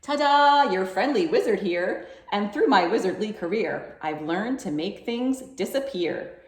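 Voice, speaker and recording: A woman's voice: mid-range voice, expressive, a little slow, reverberant. A woman voicing a friendly, bumbling storybook wizard with a proud, theatrical manner. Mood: showy, proud. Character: showy, friendly, bumbling wizard eager to volunteer.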